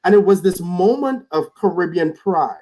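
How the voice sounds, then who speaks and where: A man's voice: sits high, animated, normal speed, dark tone. A man speaking as a guest in a reflective conversation over a video call, earnest, often repeating words as he starts a thought.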